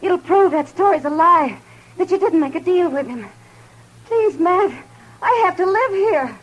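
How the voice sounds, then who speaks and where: An actress playing a distressed young widow pleading urgently in a 1950s radio drama. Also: female voice, very high-pitched, animated, a little slow, muffled recording.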